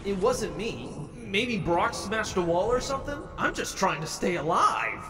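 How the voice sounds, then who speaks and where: Casting: quippy, defensive young hero, voiced by a man, fairly high and very animated, in long reverb. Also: unhurried. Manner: quippy, sarcastic. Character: wisecracking young male superhero, stubborn, defensive, earnest. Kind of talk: video game fandub acting. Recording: long reverb, game music behind.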